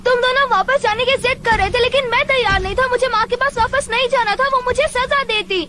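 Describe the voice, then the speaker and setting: Very high-pitched mischievous cartoon kid. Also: female voice, brisk, background noise. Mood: guilty, defensive. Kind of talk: animated film dub.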